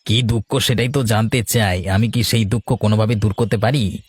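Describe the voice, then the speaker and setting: A man's voice: on the low side, steady tone, normal speed, breathy edge, no echo, studio quality. A man voicing a sly, coaxing village go-between in a cartoon tale. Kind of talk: Bengali fairy-tale cartoon voice acting.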